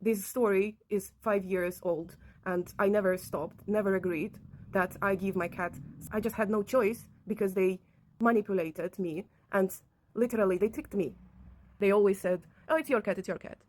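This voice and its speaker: Woman, phrasing, earnest conversational vlog delivery, medium pitch. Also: normal speed, a little mellow, poor audio. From Latvia. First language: not English. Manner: earnest, non-native phrasing. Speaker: vlogger telling own story. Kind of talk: personal account to camera, conversational.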